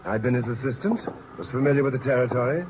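A blunt, uneasy doctor played by a man in an old radio adventure. He speaks fast at a medium pitch. The sound is muffled, with clear background noise. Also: lightly acted. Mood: brisk, uneasy. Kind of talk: 1950s radio drama acting. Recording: muffled, noisy 1950s radio recording.

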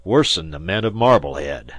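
A man doing a gruff old sea captain. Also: low voice, animated, fairly bright tone, dry recording. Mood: grudging. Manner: slow rustic dialect, halting admissions. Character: gruff old fishing-schooner captain, grudging.

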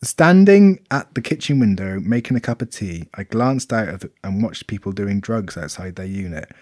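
A man co-hosting a casual podcast chat, reading out reviews and jumping in with quick laughs and swearing. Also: lowish voice, expressive, darkish tone, dry recording, clean studio sound. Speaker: podcast co-host.

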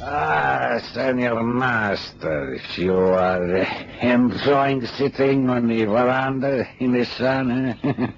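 An actor playing a sly, talkative Mexican man in a radio drama, with a Mexican accent and a teasing, chuckling manner full of needling tags. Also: lowish voice. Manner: drawling, teasing, punctuates with eh and chuckles. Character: sly, mocking Mexican man, boastful, talkative, veiled menace. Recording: muffled old radio recording, faint noise.

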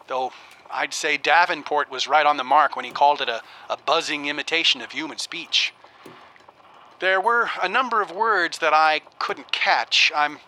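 Radio play academic: very forceful bright tone, higher pitch, earnest, precise, faintly noisy. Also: male voice. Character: earnest, worried male scholar dictating a message. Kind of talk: radio drama recorded letter. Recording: faintly noisy recorded cylinder message.

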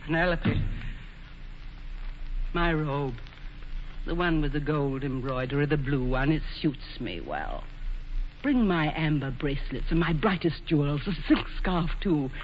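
An actress playing an ageing, imperious Queen of England, proud and bitter, with regal, deliberate delivery in a 1940s radio drama. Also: low-pitched, lively intonation. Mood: bitter, wistful. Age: older. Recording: dull noisy old radio recording, hall reverb.

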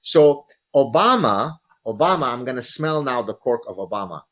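A man whose first language is Arabic, a guest author explaining his ideas in a lively, theatrical, lecturing way. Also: medium pitch, normal speed. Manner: lecturing, theatrical, uses vivid examples. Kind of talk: animated explanatory talk.

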